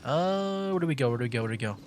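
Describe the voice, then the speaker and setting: A man's voice: medium pitch, lively intonation, rapid-fire, a little mellow, slight background noise. A man streaming a game, giving casual live commentary, thinking aloud with mild exclamations. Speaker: streamer, VTuber gamer. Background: faint game music.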